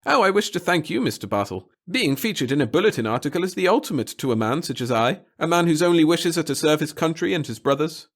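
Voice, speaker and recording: Male narrator as nervous little bureaucrat, raised high voice, formal, wordy, fawning, audiobook character. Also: very animated, quick pace, few pauses. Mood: obsequious. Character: little wizened fussy nervous male bureaucrat with hidden cunning.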